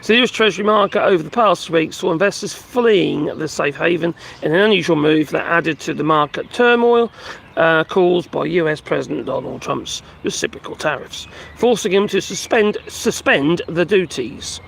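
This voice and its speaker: Male streamer, voice fading and hoarse, halting news chat with plenty of uhs and restarts, slightly raised pitch. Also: lively intonation, fairly bright tone, a little hiss or hum. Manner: rambling, halting, many fillers and restarts; talks to his pets between remarks. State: losing his voice. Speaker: livestream host reading and commenting on news. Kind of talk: casual live commentary on news. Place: at home with animals nearby.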